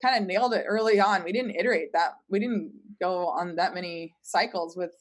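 A woman's voice: fairly low pitch, fairly quick. A woman talking casually as a panel guest, explaining things informally and acting out imagined remarks as she goes. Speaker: startup team member, panel guest.

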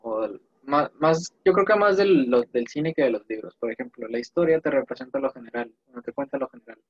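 A man's voice: fairly high pitch, fairly quick, gravelly, husky, no echo. Young Mexican man, casual slangy talker, rambling storyteller; dry, slightly dull lo-fi audio. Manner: slangy, digressive, animated storytelling. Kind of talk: casual chat.

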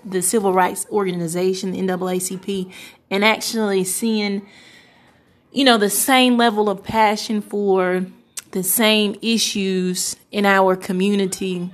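Young woman in her twenties, earnest, hesitant, mid pitch. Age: 20s. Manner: earnest, hesitant with many fillers. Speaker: pastor's wife and church first lady. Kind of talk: interview answer, casual and reflective.

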